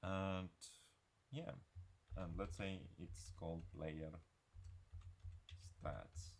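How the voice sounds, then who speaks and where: A man giving an unscripted software screencast tutorial in a halting, hesitant way. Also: low-pitched, steady tone.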